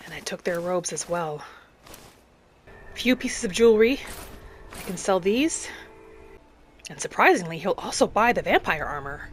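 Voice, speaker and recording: A woman hosting a gaming channel, narrating her roleplay in character in a measured, earnest storytelling way. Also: lowish voice, a little hiss or hum. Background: background music.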